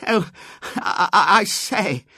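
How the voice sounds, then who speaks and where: Man acting a stammering, flustered young man, pitched up. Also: sing-song, moderate pace, a bit bright, no room sound, studio quality, exaggerated voice. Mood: panicked. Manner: stammering, bleating protests. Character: flustered, self-pitying lovelorn young man, indignant and timid.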